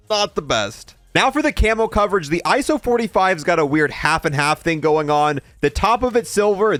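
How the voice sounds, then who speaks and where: A man's voice: high-pitched, a bit bright, no echo. A man hosting a casual video game review, announcing each item and thinking aloud as he tests it. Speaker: gaming video host.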